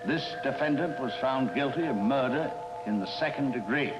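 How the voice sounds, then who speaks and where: An actor playing a stern, formal judge and husband in measured 1950s television drama style. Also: male voice, medium pitch, loud music behind the voice, close to an everyday voice. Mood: solemn. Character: stern, formal middle-aged male judge and earnest husband. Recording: noisy old 1950s broadcast soundtrack.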